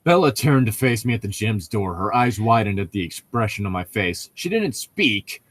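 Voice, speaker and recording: A man's voice: lowish voice, very animated, moderate pace, slightly rough. A man co-hosting a casual podcast, chatting in a rambling, digressive way with some swearing as he comments on a book. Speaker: podcast co-host. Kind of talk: casual chat and commentary while reading a novel aloud.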